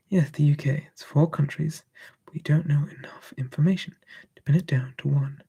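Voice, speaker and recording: ASMR streamer, gravelly mellow whisper, close mic, easygoing chat. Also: medium pitch, moderate pace, lo-fi sound. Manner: soft, drifting between whisper and quiet voice, musing.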